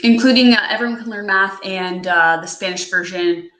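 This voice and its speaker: A woman author and educator, a native Portuguese speaker, chatting modestly with halting restarts on a video call. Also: medium pitch, a bit bright, reverberant. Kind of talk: casual interview chat.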